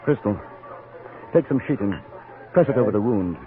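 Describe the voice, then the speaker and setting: An actor playing a composed, commanding British gentleman in a 1950s radio western, speaking in a formal, dry, courteous way. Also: fairly low pitch, expressive, moderate pace, lightly acted. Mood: firm, dry. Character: composed, commanding English gentleman adventurer in the Old West. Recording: muffled 1950s radio recording, music behind.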